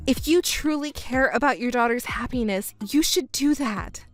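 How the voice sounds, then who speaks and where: A woman voicing a calm, cold young stepdaughter in a melodramatic audio drama. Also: fairly high pitch, soft background music, close to an everyday voice. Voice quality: cool, measured, firm.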